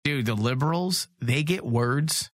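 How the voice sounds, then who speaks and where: A man co-hosting a podcast, going hoarse, ranting sarcastically and swearing freely in crude comedic banter. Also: mid-range voice, unhurried, no echo, clean studio sound. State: losing his voice. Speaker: podcast co-host.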